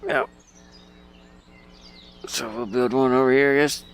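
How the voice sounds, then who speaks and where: A man plays a game and comments casually as he goes, thinking aloud in a puzzled mutter. His speech is slow and creaky, at a middling pitch. There is faint noise in the background. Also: fairly even intonation. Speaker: gamer playing and commenting on a game.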